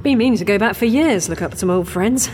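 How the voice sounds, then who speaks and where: A woman's voice: mid-range voice, lively intonation, fairly quick, a little mellow, natural voice. An actress playing an adventurous, troubled woman professor in an audio drama. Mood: wry. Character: dry, adventurous woman professor, haunted and feverish. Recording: heavy noise behind drama dialogue.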